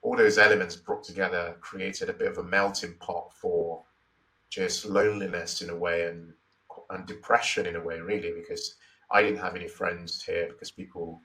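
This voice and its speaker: Interview guest: Nigerian man, 40s, deep but crisp-edged voice, reflective and repetitive. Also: fairly bright tone, far from the mic, low-quality recording. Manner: thoughtful, repeats phrases, many "you know, like" fillers.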